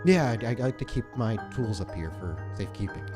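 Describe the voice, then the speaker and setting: A man's voice: mid-range voice, big pitch swings, brisk, music in the background, close to an everyday voice. A man voicing a nervous, evasive young woman who is timid, apologetic and stammers in a tabletop roleplaying game. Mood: unsettled. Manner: hesitant, stammering. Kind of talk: tabletop roleplay character acting.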